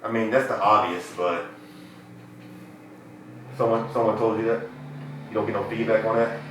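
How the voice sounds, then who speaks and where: A man's voice: lowish voice, steady tone, normal speed, background noise. A man hosting a podcast interview, talking in loose, joking, slangy banter. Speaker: podcast host. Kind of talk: casual interview chat. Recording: very echoey room.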